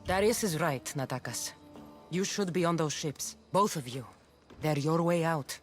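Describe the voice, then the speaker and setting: A woman's voice: deep voice, expressive, moderate pace, soft background music. An actress playing a confident, wry Greek warrior woman in a video game, with a firm, decisive delivery and a Greek accent. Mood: firm, urgent. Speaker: player character. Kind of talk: cinematic game dialogue.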